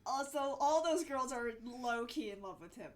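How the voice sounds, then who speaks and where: A woman's voice: high-pitched, animated, normal speed. A woman co-hosting a podcast, chatting enthusiastically with her co-host. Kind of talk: casual chat. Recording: distant mic with faint noise.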